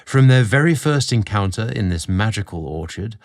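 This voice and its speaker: A British man narrating a scripted story, theatrical and deliberate in his phrasing, drawing out words as a podcast host. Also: fairly low pitch, expressive, dry recording, clean studio sound.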